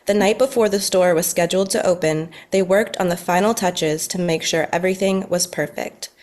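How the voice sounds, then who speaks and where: Woman reading out stage directions at a table read, voice a bit low. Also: moderate pace, darkish tone. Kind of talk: scripted narration at a table read.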